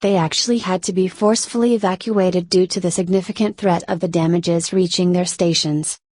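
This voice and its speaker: A woman playing a radio station newsreader, delivering a scripted emergency bulletin in a formal, measured way. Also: on the low side, steady tone, a little mellow, dry recording, lightly acted. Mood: serious, informative. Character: female radio station newsreader reporting on city violence. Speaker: emergency broadcast news announcer.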